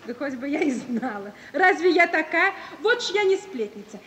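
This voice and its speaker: An inquisitive, cheerful lady from the capital with a high, exclamatory voice. She prods eagerly in old theatrical style. The sound is distant and hally, with faint noise from a vintage radio recording. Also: big pitch swings, normal speed, fairly bright tone, in a large hall. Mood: bright, playful. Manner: eager, exclamatory, prodding with questions. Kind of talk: 1950s Russian radio stage-comedy acting.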